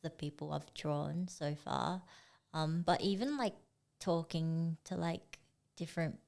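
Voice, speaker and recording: Young woman, low voice, slow relaxed talk, casual interview guest, lots of fillers. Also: gravelly. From Singapore. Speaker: guest artist.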